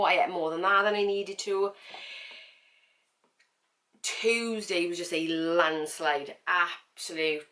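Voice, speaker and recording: A woman talking casually to camera in a vlog, with a croaky voice and a chatty, frank manner full of fillers and repeats. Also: on the low side, bright, forceful tone. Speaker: vlogger.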